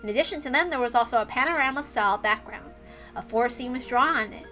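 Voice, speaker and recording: A woman narrating a story aloud as an expressive reader. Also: high voice, quick pace, soft background music.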